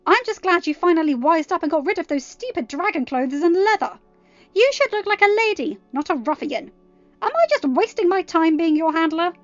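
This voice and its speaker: A woman's voice: very high-pitched, animated, a little fast, no room sound, muffled recording, soft background music, pitched above the performer's own voice. A woman voicing a prim, condescending royal handler who scolds and huffs, in an audio story. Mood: scornful scolding. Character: snooty, scolding young female royal handler.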